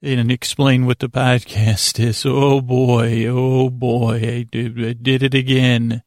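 A man hosting a bedtime podcast in a lulling, rambling monologue, halting and self-deprecating as he circles back on himself. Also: medium pitch, level tone, darkish tone, dry recording, clean studio sound. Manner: lulling, soothing delivery. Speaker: podcast host.

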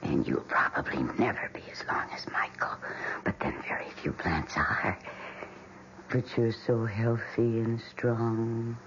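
An actress playing a sweet, doting young wife in an old screen drama, gushing and breathy, sometimes dropping to a whisper. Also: female voice, deep voice, expressive, normal speed. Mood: cooing. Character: sweet, doting young wife who talks to her houseplants. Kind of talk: vintage screen drama acting. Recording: dull, noisy old film soundtrack.

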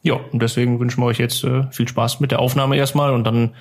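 A man's voice: mid-range voice, flat delivery, fast talker. A man co-hosting a casual fan podcast, telling stories in a chatty, rambling, slangy way. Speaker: podcast co-host.

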